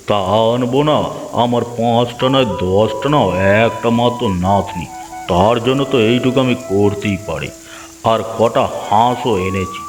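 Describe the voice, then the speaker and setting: A man's voice: mid-range voice, long reverb tail, close to an everyday voice. A man voicing a kindly, doting old village grandfather in a children's cartoon, with an affectionate, folksy manner. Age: older. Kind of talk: children's moral story cartoon dubbing.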